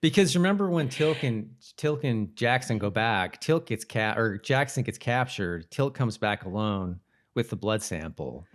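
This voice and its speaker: A man's voice: lowish voice, expressive, a little slow. A man co-hosting a podcast, giving casual, jokey commentary on a TV show. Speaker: podcast co-host.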